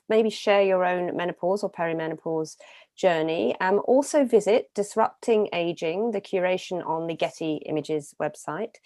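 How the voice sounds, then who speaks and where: A woman's voice: lowish voice, a little mellow, no echo, studio quality. A woman hosting an event, giving upbeat closing remarks with frequent ums. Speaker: event host.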